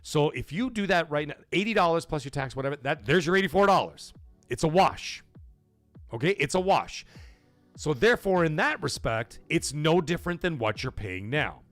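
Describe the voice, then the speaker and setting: Lively-voiced man hosting a gaming stream. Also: a little high, normal speed, no echo, faint music behind. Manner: emphatic, persuasive, keeps checking in with "okay?" and "right?". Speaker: host of a gaming livestream. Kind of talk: casual livestream commentary, greeting chat.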